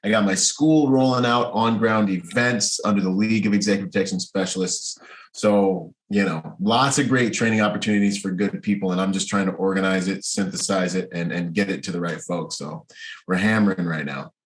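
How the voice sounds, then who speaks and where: Low-voiced American man, slangy podcast host. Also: brisk. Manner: slangy, buddy-like, earnest. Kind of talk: casual podcast chat.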